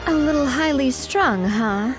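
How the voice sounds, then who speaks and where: A woman playing a confident, friendly young woman in a video game, conversational and expressive. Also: high voice, measured pace. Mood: wry. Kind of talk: video game character acting. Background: music.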